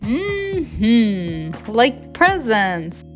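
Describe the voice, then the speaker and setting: A woman's voice: fairly high pitch, very animated, extremely slow, soft background music, exaggerated voice. A woman voicing a curious, innocent little bear cub with a childlike, questioning way of talking in a storybook. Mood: curious, puzzled.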